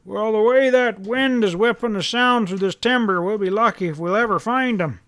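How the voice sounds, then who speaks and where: Cautious older rural judge, played by a male reader, folksy, hesitant, raised pitch, storybook. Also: normal speed, a bit bright, no room sound. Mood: doubtful.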